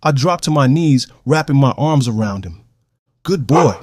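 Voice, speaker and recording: A man's voice: medium pitch, animated, normal speed, darkish tone, lightly acted. An actor playing a shaken, angry man who narrates his own danger in tense, clipped phrases for an audio drama. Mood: startled relief.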